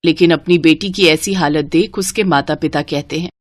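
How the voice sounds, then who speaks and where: A woman's voice: deep voice, normal speed. A woman reading scripted narration for a family moral story.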